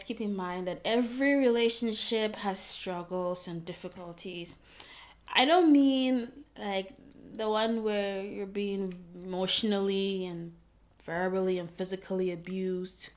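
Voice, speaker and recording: A woman from Ghana co-hosting a casual advice chat, animated and teasing, laughing often. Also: mid-range voice. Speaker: co-host.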